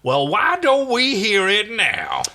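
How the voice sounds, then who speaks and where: A man voicing a manic, taunting male astronaut hero with a loud, sing-song, mocking delivery. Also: mid-range voice, animated, a little slow, pitched above the performer's own voice. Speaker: fan fiction reader.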